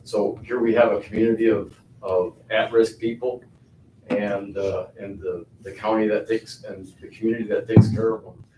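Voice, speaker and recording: An older man giving a halting public comment at a county board meeting, with many fillers and restarts. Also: on the low side, flat delivery, warm tone. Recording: echoey hall, far from the mic, faint noise.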